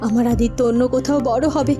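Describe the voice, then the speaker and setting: A woman's voice: on the high side, fairly even intonation, fast, lightly acted. A woman playing a grieving but stubbornly hopeful young mother in a cartoon melodrama, plaintive and emotional. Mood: defiant faith. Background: music.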